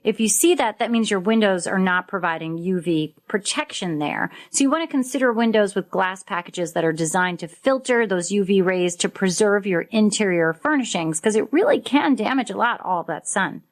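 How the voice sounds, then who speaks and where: A woman co-hosting a radio advice show, presenting semi-scripted tips in a clear, instructive way. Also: medium pitch, lively intonation, moderate pace, few pauses. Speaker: co-host of a home improvement radio show. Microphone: studio microphone.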